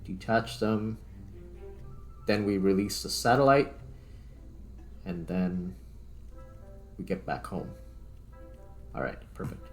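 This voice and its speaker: A man with a lowish voice gives casual gaming commentary over clear background music. He thinks aloud in an informal way, half muttering to himself as he plays. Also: slow pace, pauses often. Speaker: gaming video host, player.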